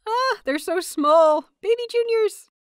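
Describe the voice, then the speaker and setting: A woman voicing a playful, cheeky, falsely innocent young man in audiobook dialogue, teasing and animated. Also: very high-pitched, slow pace, dry recording, clean studio sound, voice pushed up, full character voice.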